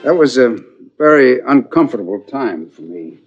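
An actor playing a middle-aged, formal businessman father in a TV drama, halting and deliberate in an uneasy way. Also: medium pitch, very animated, soft background music. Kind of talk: 1980s primetime TV drama acting. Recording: muffled old TV drama soundtrack.

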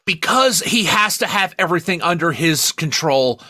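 Excitable man with a bright, higher voice. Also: moderate pace, dry recording. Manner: excitable, profane, rambling. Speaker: podcast host. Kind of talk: casual film review chat.

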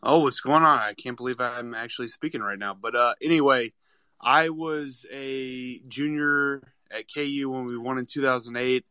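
Bright, clear-voiced man in his thirties. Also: medium pitch, normal speed, no echo. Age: 30s. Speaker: caller to a sports podcast. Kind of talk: casual sports chat.

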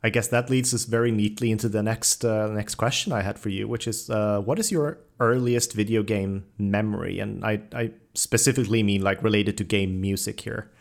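A man's voice: on the low side, fairly even intonation, normal speed, vocal fry, hardly any pauses. A man hosting a podcast, asking questions in a casual, conversational interview style.

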